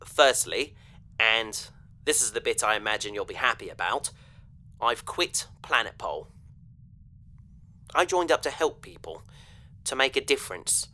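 Young idealistic spaceman for audio drama, bright clear-cut voice, sincere, kinda hesitant. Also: medium pitch, expressive, a little slow, pauses often. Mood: earnest, hopeful. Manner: earnest, hesitant. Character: earnest young idealistic male space adventurer.

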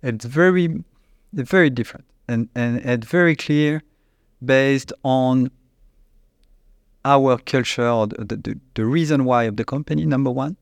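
French-accented man with halting English. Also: mid-range voice, vocal fry, no echo, clean studio sound. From France. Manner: halting, restarts often, searches for English words. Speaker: company CEO, guest. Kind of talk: conversational interview answers.

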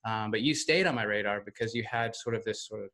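A man's voice: on the low side, bright, forceful tone. An American man hosting a podcast, chatting casually with lots of fillers and rambling asides. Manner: rambling, many fillers, fast. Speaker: podcast host. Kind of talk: casual interview chat.

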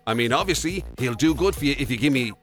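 A man's voice: medium pitch, expressive, quick pace, a bit bright, soft background music. A man with an Irish accent co-hosting a podcast in casual comic banter. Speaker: podcast co-host.

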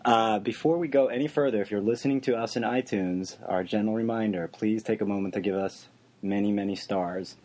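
A man hosting a legal podcast in a quick, joking way, his voice a little worn from losing it. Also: lowish voice, darkish tone. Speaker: podcast host. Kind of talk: casual podcast banter.

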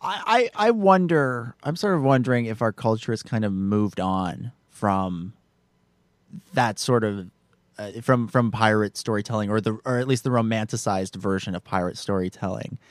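A man's voice: lowish voice, moderate pace, no room sound. A man co-hosting a casual film discussion, hesitantly repeating and rephrasing his words as he thinks aloud. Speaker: podcast co-host.

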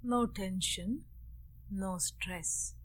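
A woman leading a guided meditation, speaking in short, measured phrases with long pauses between them. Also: mid-range voice, fairly bright tone. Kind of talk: guided meditation with opening Sanskrit chant.

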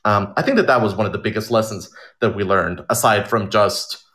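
A man with a fairly low voice speaks informally as an interview guest. He is an animated storyteller who explains his creative work conversationally and likes to act out imagined remarks. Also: fairly quick. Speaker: audio drama writer and director being interviewed.

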